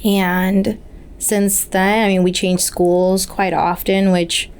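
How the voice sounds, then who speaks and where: A woman's voice: mid-range voice, little pitch movement, takes it slowly, crowd noise. A woman from Puerto Rico whose first language is Spanish, earnestly telling her life story as a podcast guest. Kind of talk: casual interview.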